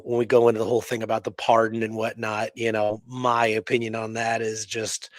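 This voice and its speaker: A man with a mid-pitched voice talks history as a show's co-host. He is emphatic and conversational, breaking his delivery with halting asides. The sound is dry and close, with little room in it. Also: a little mellow, dry recording. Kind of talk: conversational history discussion with read-aloud documents.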